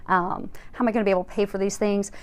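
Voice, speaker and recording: A woman's voice: medium pitch, fairly even intonation, racing. A woman hosting a casual, conversational spiritual tarot reading, speaking to viewers in a reassuring, encouraging way. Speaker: tarot card reader and host.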